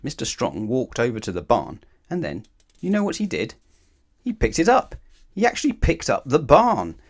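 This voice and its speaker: A man reading a children's story aloud in an expressive, animated way, with emphatic phrasing aimed at the listener. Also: mid-range voice, moderate pace. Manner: animated, asks the listener questions, emphatic repetition.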